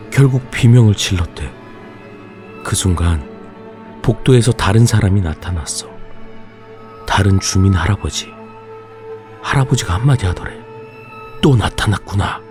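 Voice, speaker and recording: A man narrating a ghost story in a casual, slow and suspenseful way. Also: mid-range voice, a little mellow.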